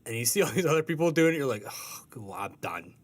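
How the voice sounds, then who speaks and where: A man being interviewed as a guest, talking in a casual, halting, self-deprecating and joking way. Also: medium pitch, very animated, husky. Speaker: guest, comic strip artist.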